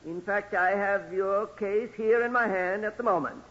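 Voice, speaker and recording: A man's voice: high voice, normal speed, a bit bright. An actor playing a formal, exacting male law professor in 1940s radio drama style, lecturing in precise phrases. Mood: brisk, exacting. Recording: dull, reverberant old radio recording.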